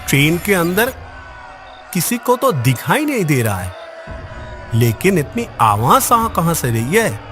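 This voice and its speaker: Man acting uneasy, high-voiced night traveler. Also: sing-song, a little slow, pauses often, pushed and bright, soft background music, exaggerated voice. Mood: puzzled. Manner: questioning, nervous. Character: uneasy, puzzled male traveler at night station. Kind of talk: Hindi animated horror story dialogue.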